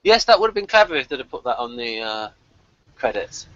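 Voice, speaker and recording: A man's voice: mid-range voice, big pitch swings, a little fast, pushed and bright, dry recording, muffled recording. A man co-hosting a casual review chat, with a wry way of talking as he mixes prepared jokes with asides. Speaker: podcast co-host.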